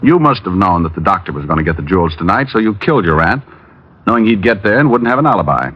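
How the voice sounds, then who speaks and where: Breezy male gumshoe, dry and sardonic, wide-ranging delivery, old low-fidelity radio recording. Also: sing-song, fast, slightly rough, highs cut off, full character voice. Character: wisecracking, cool male private detective. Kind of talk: 1940s radio detective drama acting.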